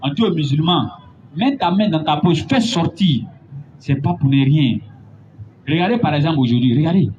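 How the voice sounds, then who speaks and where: A man preaching a sermon, building to emphatic, drawn-out points. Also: a little high, expressive, a little mellow. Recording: dull lo-fi recording with faint noise.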